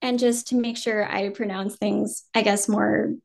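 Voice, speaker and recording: A woman's voice: medium pitch, measured pace, gravelly. A woman in her thirties from New Jersey, raised speaking Vietnamese first, now with an American accent, chatting casually and loosely in an interview. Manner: relaxed, rambling, many "um" and "like", laughs easily. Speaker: guest actor.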